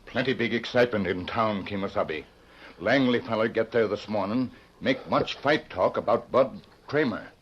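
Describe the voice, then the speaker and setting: An actor in an old-time radio western playing a loyal Indian companion who speaks clipped broken English. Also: male voice, lowish voice, normal speed, slight background noise, natural voice. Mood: earnest, reporting. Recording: muffled vintage radio recording.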